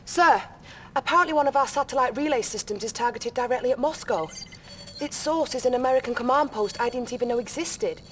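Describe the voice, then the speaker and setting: An actress playing a young female intelligence officer who briefs her commander in a crisp, earnest way. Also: on the high side, fairly quick, slight background noise, natural voice. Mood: urgent.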